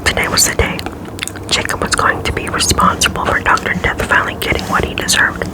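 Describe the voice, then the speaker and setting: An ASMR creator reading a chapter book aloud in a soft unvoiced whisper, with casual asides. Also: a little fast, poor audio. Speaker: ASMR reader.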